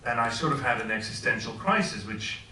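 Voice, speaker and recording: A man's voice: mid-range voice, animated, a little fast, pushed and bright, recorded from a distance, slight background noise. A middle-aged man from Switzerland, a professor, giving an informal talk and asking the audience questions. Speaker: guest speaker. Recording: echoey room, voice heard from afar.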